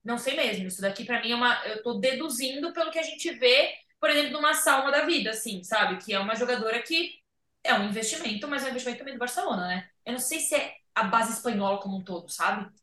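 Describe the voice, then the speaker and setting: A Brazilian Portuguese-speaking woman hosting a casual sports chat, animated and rambling, often restarting her thoughts. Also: on the high side, fast. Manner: animated, rambling, thinks aloud with many restarts. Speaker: podcast host. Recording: roomy, a bit far from the mic.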